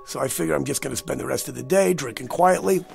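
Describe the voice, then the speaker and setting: An American actor playing an agitated, cynical man who insists he has seen disaster coming, speaking in a blunt, slangy, pleading way with a gravelly voice. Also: mid-range voice, quick pace, a little mellow, faint music behind. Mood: bitter, weary. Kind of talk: dramatic screen acting.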